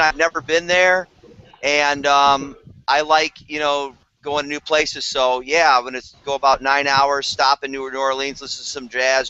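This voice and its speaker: A man's voice: mid-range voice, bright, forceful tone, clean tone, no room sound. A man chatting enthusiastically on a group video call, rambling with fillers. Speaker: business team leader on a video call. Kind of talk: casual group video chat. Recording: dry, slightly dull call audio.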